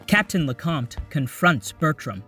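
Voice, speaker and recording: A man's voice: a little high, sing-song, unhurried, bright, forceful tone, breathy edge, distant mic. Man narrating stage directions over music. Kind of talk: scripted narration.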